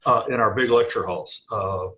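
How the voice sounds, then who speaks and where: A man's voice: fairly low pitch, normal speed, bright, forceful tone. A man, a panel guest, giving practical advice in a matter-of-fact way.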